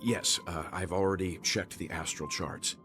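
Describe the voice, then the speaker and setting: An actor playing a wise, measured older mentor and navigator in a video game, speaking thoughtfully and articulately. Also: male voice, deep voice, fairly even intonation, music in the background, close to an everyday voice. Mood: businesslike.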